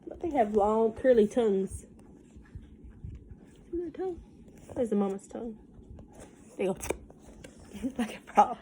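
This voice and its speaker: Southern us lady teacher doing storytime, highish voice, sing-songy n folksy, bit of noise behind her. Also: expressive, a little slow, pauses often, dark tone. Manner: sing-song when reading, folksy and conversational in asides. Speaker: teacher reading aloud to children. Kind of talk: picture-book read-aloud with casual asides.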